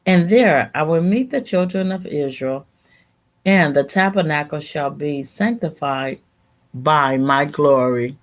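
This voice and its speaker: A woman reading scripture aloud in a deliberate, halting way, sometimes repeating a word as she goes. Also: low voice. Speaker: Bible reader.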